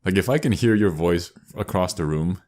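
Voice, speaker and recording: Deep-voiced young man, slangy and blunt. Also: moderate pace, a little mellow. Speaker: podcast co-host. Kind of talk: casual chat, griping.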